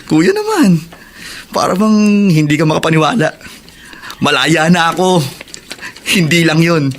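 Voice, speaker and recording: A man's voice: fairly high pitch, very animated, a little slow, slight background noise. An actor playing an eager, devoted young man freed from prison, with a Filipino accent and an earnest, pleading radio drama delivery. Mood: excited, hopeful.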